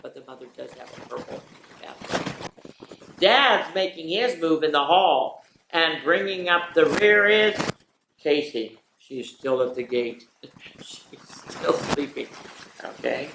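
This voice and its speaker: Lady reading picture books to kids, takes it real slow, chatty asides, kinda echoey room. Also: on the low side, background noise. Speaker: children's story time host. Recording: echoey, roomy sound.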